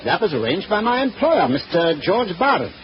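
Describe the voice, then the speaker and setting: Blunt sea captain, very animated delivery, muffled old radio play recording. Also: male voice, sits high, normal speed, background noise. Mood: blunt, disapproving. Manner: blunt, indignant, deferential with sirs. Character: blunt ship's captain, disapproving of his crewman. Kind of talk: 1940s radio detective drama acting.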